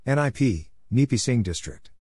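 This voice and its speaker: A man reading short scripted items in a plain, deliberate announcing manner, spelling out letters and naming places. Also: mid-range voice, expressive, warm tone, slight background noise. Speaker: Morse code practice narrator.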